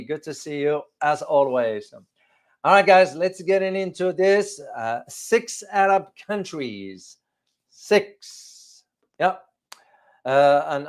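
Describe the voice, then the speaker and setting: A man in his fifties hosting informal geopolitical commentary for viewers, speaking haltingly and repeating phrases for emphasis. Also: a little high. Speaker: host of a geopolitics show. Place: small furnished home room, indoors.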